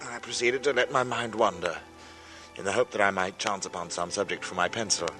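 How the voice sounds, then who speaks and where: Radio drama lead: thirties artist, low-pitched, deliberate and foreboding, confessing over prominent music. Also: male voice, bright, forceful tone. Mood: measured, foreboding. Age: 30s. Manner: slow, halting, deliberate, dramatic. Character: uneasy 35-year-old English artist writing his strange testimony. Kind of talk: 1940s radio drama acting, first-person narration. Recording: vintage radio broadcast with music.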